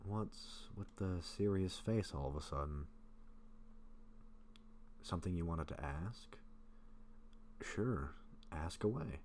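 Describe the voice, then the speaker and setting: A man acting a gentle, bashful, sick vampire in an intimate roleplay audio, speaking softly and haltingly to a close friend. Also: deep voice, animated, slight background noise, full character voice. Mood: nervous, confessing. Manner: soft-spoken, hesitant, halting with pauses.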